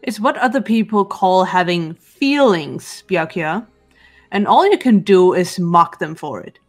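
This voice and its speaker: A woman's voice: on the low side, expressive. A woman as a composed teenage girl over music. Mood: quietly reproachful. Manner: measured, stern. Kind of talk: amateur video game voice-over. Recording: background music throughout.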